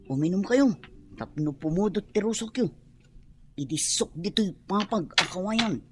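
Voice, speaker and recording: A woman's voice: medium pitch, lively intonation, moderate pace, music in the background, close to an everyday voice. An actress playing a humble, respectful young woman of the mountains in an Ilocano radio drama. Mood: deferential, matter-of-fact.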